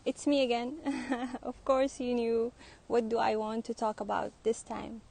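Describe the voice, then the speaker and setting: A young woman student interviewing people and then sharing her own thoughts in a vlog, speaking thoughtfully with pauses. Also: on the high side, fairly even intonation, creaky, darkish tone. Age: young adult. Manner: slow, thoughtful, hesitant delivery. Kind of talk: street interviews and personal vlog talk.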